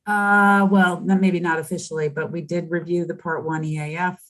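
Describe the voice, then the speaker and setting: A woman's voice: lowish voice, flat delivery, normal speed, fairly bright tone. A woman advising a board, giving a procedural spoken report over a video call.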